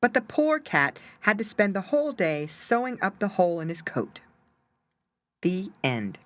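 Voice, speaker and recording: A woman's voice: mid-range voice, very animated, a little slow, a bit bright. A woman narrating a children's story expressively, with dramatic emphasis.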